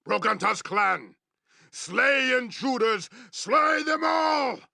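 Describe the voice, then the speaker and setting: An actor playing a savage, proud orc chieftain in a fantasy video game, growling threats in blunt, broken English. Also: male voice, extremely high pitch, expressive, takes it slowly, pushed and bright, dry recording, studio quality, full character voice. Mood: roaring, furious. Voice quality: gravelly growl. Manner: broken, blunt English with barked war cries.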